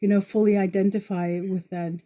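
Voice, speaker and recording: A woman hosting a podcast in casual conversation, with informal, halting phrasing as she rewords herself. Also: medium pitch, level tone, mellow. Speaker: podcast host.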